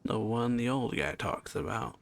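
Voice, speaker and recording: A man giving casual, low-key commentary as he plays through a video game, often trailing off and muttering to himself. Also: level tone, moderate pace, vocal fry, a little hiss or hum. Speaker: video game streamer reacting to story.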